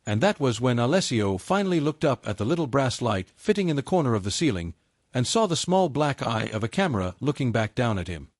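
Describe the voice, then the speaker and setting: A man's voice: mid-range voice, very animated, a little fast, no echo, studio quality. A man reading a dramatic romance thriller as a scripted audiobook narration.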